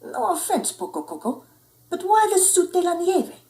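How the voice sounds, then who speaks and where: A woman's voice: very high-pitched, very animated, normal speed, mellow, far from the mic, pitched above the performer's own voice. A woman voicing the leader of a band of little chihuahuas, theatrical and peppering the talk with Spanish. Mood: expansive. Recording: roomy indoor echo.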